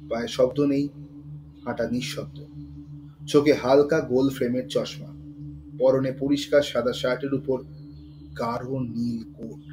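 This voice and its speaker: A male storyteller narrates a thriller with measured suspense. His pitch sits in the middle, and faint ambient noise runs behind the dramatic reading.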